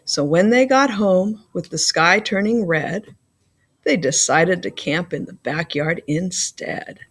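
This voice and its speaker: A woman reading a children's picture book aloud as a school librarian, with deliberate, playful emphasis. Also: on the low side, husky.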